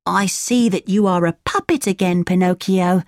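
A woman's voice: lowish voice, moderate pace, no echo, clean studio sound, pitched above the performer's own voice, natural voice. A woman playing a contented male talking donkey in a children's storybook. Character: contented male donkey, puppet's old friend. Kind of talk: children's storybook character reading.

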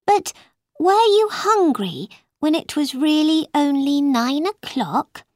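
A polite but outspoken storybook girl, voiced by a woman, questioning and quick to object. Her voice is high and lively, with slow, careful words. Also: no echo, clean studio sound.

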